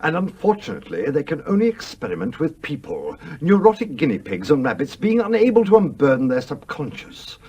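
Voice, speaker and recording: Gruff, husky ghostly sea captain. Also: male voice, fairly high pitch, wildly swooping pitch, brisk, hardly any pauses, a little mellow, exaggerated voice. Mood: gruff, dismissive. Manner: commanding, exasperated, old-fashioned nautical oaths. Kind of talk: radio play acting.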